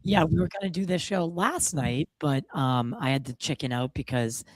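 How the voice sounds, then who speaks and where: A man with a gravelly, slightly hoarse voice, hosting a podcast in loose, casual, swearing chat full of fillers. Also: on the high side, normal speed, no room sound. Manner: loose and profane, lots of fillers. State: a bit hoarse from a cold. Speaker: podcast host.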